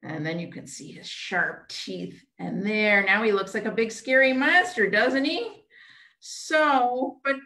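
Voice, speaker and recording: Slow, echoey woman leading children's storytime. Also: mid-range voice, bright, forceful tone. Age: middle-aged. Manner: simple questions and short phrases, as to small children. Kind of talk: interactive storytime for young children. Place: small room at home, indoors. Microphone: computer mic on a video call, a step away. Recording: roomy echo, webcam video call.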